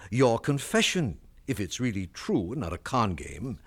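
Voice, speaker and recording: An actor playing a ruthless middle-aged tycoon who talks with cold contempt, in a 1970s radio drama. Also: male voice, mid-range voice, big pitch swings, moderate pace, breathy edge. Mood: contemptuous. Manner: sneering, contemptuous.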